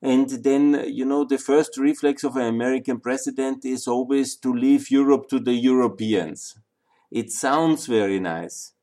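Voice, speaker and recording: A man from Austria with an Austrian German accent, lecturing an audience in a halting way with many fillers and restarts. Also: mid-range voice, steady tone, warm tone. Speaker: speaker on Balkan history.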